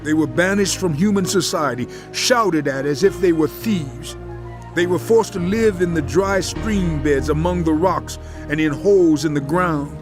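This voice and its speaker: Male actor as righteous sufferer, dramatized scripture, higher-than-average male pitch, solemn, impassioned, measured, music underneath. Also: close to an everyday voice. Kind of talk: dramatized audio Bible reading.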